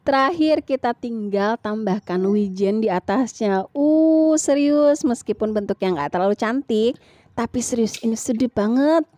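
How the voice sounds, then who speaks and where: A woman's voice: fairly high pitch, animated, measured pace, warm tone, dry recording. A woman with an Indonesian accent narrating a home vlog as a mother, in a chatty, colloquial way.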